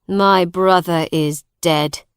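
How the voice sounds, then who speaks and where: A woman's voice: fairly low pitch, fairly even intonation, takes it slowly, smooth, dry recording, studio quality. A woman reading a storybook, voicing a harsh, angry man who gives orders. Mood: stern. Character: harsh, angry grown man, a usurping brother.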